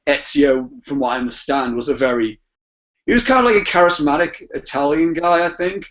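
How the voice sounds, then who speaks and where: Halting, higher-voiced man on a muffled podcast. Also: fairly even intonation, fairly bright tone. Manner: halting, rambling, repeats phrases. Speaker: podcast guest. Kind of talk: casual chat. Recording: dull, muffled lo-fi podcast audio.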